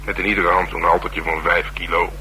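Deep-voiced, wry Dutch police detective played by a man. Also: little pitch movement, a little fast, crowd noise, lightly acted. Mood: teasing, dry. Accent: Netherlands Dutch. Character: wry, teasing, world-weary male police detective. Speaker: actor in radio crime serial. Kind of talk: naturalistic radio drama dialogue. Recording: hissy, humming old radio recording.

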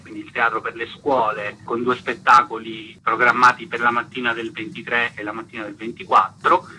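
A man's voice: mid-range voice, pushed and bright, slight background noise, poor audio. A man answering interview questions as an artistic director, explaining his project. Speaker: interview guest, theatre festival artistic director.

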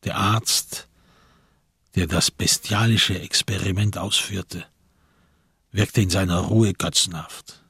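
Low, very husky male narrator. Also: fairly even intonation, pushed and bright, no echo, clean studio sound. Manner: measured storytelling. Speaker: narrator of a radio crime play. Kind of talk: scripted literary narration. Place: dry radio studio.

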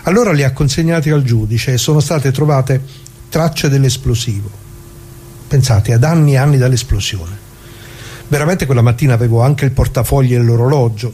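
Male actor as elderly labourer, soft dark timbre, colloquial and halting, dramatic monologue over noticeable background noise. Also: mid-range voice, lightly acted. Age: older. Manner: colloquial, halting, directly addressing listeners. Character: elderly working-class man from Brescia, plain-spoken bombing survivor.

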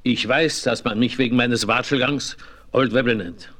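A man's voice: medium pitch, normal speed, a bit bright, natural voice. An actor playing a proud, blustery frontiersman over ninety in a western radio play, blunt and colloquial. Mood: boisterous.

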